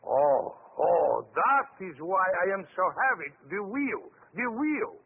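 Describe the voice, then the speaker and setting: A man's voice: sits high, very animated, slow pace, gravelly, slightly rough, heavily acted. An actor playing a distraught foreign man, pleading in broken English in a 1940s radio drama. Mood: baffled, agitated. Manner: pleading, fractured grammar, repeats himself. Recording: tinny, hissy 1940s radio recording.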